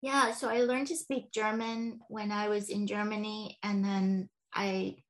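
A woman author, a guest on a podcast, chatting in a relaxed way about her life and telling stories. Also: medium pitch, measured pace, poor audio. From Iran. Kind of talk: casual interview chat.

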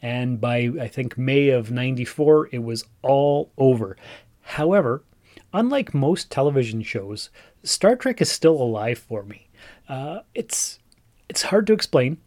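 A man's voice: medium pitch, lively intonation, a little slow, darkish tone. Rambling man in his forties. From Canada (Northern Ontario). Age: 40s. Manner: rambling, chatty, musing aloud with restarts. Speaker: podcast host. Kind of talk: casual solo podcast monologue.

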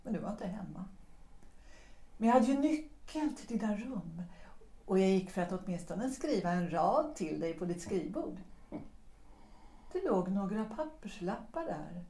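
An actress playing a former singer who calmly and deliberately explains why she left her lover. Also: medium pitch. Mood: reproachful. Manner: measured, deliberate, quietly reproachful. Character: woman, a former opera singer, calmly accusing. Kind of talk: audio drama acting. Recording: roomy echo.

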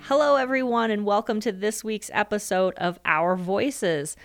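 A woman's voice: medium pitch, normal speed, faint music behind. A woman co-hosting a podcast, greeting listeners and asking questions in an upbeat, playful way. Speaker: podcast co-host. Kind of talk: casual interview chat.

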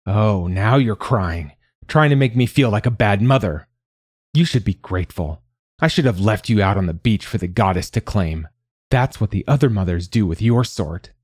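A man acts out an abusive storybook mother, scornful and harsh. The words come with hissing contempt for the child, in a dark, low-lying voice recorded very dry. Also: animated, normal speed, husky, dry recording. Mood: bitter contempt. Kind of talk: dramatised audiobook narration.

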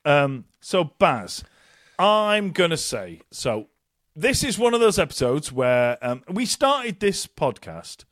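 Casting: male podcast co-host, sweary and jokey, lively voice, dry close sound. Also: a little high, slow pace, dry recording. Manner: sweary, jokey, rambling announcements. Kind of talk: casual chat.

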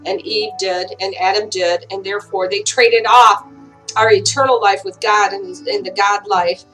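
A woman with a dry voice giving an informal online Bible teaching, halting often with fillers and restarts. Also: mid-range voice, fairly even intonation, fairly bright tone, faint music behind, rough recording. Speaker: online preacher.

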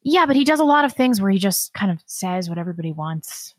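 A woman's voice: mid-range voice, sing-song, no room sound, clean studio sound. A woman co-hosting a podcast who answers bluntly and skeptically in casual political chat. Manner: blunt, skeptical, quick reactions. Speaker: podcast co-host.

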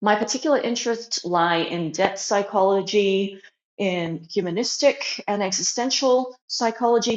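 A young woman from China, raised in Australia, talking earnestly as an interview guest. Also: medium pitch. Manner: earnest, reflective, with fillers. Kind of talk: casual interview talk. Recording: roomy and dull.

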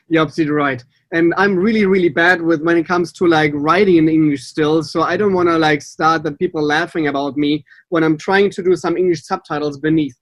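A man's voice: fairly high pitch, steady tone, brisk, no echo. A man with a German accent, a native German speaker, hosting a podcast in English as a water sommelier, upbeat and chatty. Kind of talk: casual podcast chat.

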